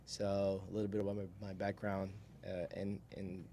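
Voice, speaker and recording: A young man with a fairly low voice tells stories as a podcast guest. He talks informally and wanders between thoughts. There is faint noise behind him. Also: completely flat delivery, moderate pace, warm tone. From Brazil. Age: 20s. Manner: informal, rambling, chuckles while talking. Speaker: podcast guest, solar salesman. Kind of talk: casual storytelling in an interview.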